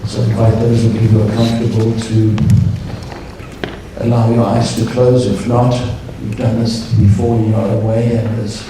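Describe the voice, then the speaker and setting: Man, guided meditation, measured, deep echo, distant mic. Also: noisy background. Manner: calm, slow, measured. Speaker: meditation leader. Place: large conference hall. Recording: echoey hall, distant mic.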